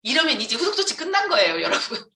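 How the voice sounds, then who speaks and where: A woman's voice: high-pitched, big pitch swings, fast, sharp tone, a little hiss or hum. A woman from Jeonju, South Korea, giving a motivational business training lecture to an audience, emphatically posing questions and answering them herself. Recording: echoey room, distant microphone.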